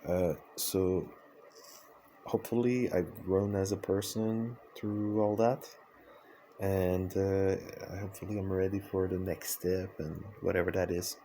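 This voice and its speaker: A man's voice: low voice, steady tone, a little slow, warm tone, slight background noise, rough recording. A man whose first language is French, speaking English in a reflective personal vlog, hesitant and full of fillers and restarts. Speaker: vlogger.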